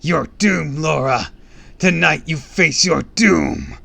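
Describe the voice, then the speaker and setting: A man voicing a desperate young girl possessed by an evil mask, shouting defiance and roaring threats in a storybook reading. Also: on the high side, expressive, slow pace, vocal fry, pitched above the performer's own voice, exaggerated voice. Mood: raging, menacing.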